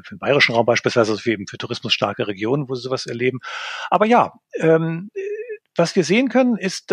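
A man with a gravelly, slightly husky voice talks through his points slowly and deliberately, pausing often with fillers. His pitch sits a little high for a man. The sound is close and dry, with no room around it. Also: dry recording. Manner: measured, hesitant with many fillers. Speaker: linguist guest. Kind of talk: expert interview answers.

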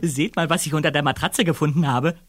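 A man playing a keen detective who is excited by his discovery in an audio drama. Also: fairly high pitch, fairly quick, no echo, clean studio sound, natural voice. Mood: keen, triumphant. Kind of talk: audio drama acting with narration.

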